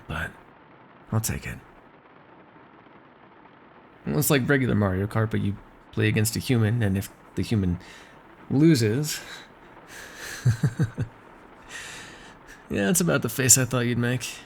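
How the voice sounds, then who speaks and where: A man's voice: mid-range voice, gravelly, a little hiss or hum. A man playing a bossy but caring vampire in an ASMR roleplay, teasing and wry, speaking naturally with small hesitations. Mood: soft, grateful. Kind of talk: ASMR roleplay, one-sided dramatic dialogue.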